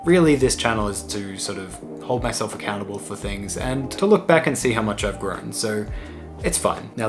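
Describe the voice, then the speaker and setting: A young man talking through his old life plans in a casual, thoughtful vlog, rambling and trailing off at times. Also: mid-range voice. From Australia. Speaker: YouTuber.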